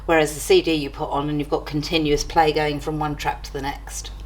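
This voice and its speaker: Low-voiced older woman being interviewed. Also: level tone, brisk, slight background noise. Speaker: interviewee from a college. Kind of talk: casual interview answers, reminiscing.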